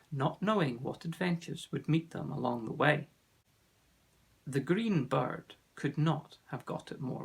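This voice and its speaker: A man reading a children's fantasy adventure aloud as expressive scripted narration. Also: on the high side, vocal fry, slightly rough. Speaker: audiobook reader.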